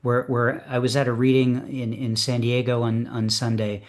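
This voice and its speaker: A man hosting an online open poetry reading, chatting casually and pausing often with fillers and restarts. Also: mid-range voice, level tone. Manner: hesitant, full of ums and restarts. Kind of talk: casual chat.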